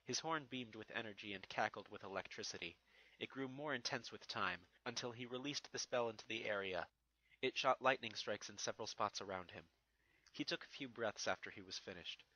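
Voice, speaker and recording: A man's voice: on the low side, brisk, pushed and bright, low-quality recording. A man giving a dramatic scripted narration of a fantasy fan fiction story.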